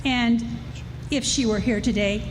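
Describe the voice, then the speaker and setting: A woman plays a proud, loving mother of the Old West in a radio drama. Her voice is slightly high, set in an echoey hall with clear noise. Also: fairly even intonation, unhurried, close to an everyday voice. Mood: proud, tender. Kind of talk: live Western radio play acting.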